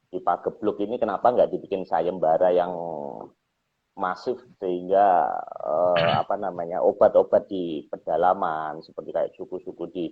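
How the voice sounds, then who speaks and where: Man, low pitch, husky, Indonesian accent, polite conversational manner, tinny phone-like sound. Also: steady tone, moderate pace. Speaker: discussion partner. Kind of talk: casual discussion. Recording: thin phone-like call audio.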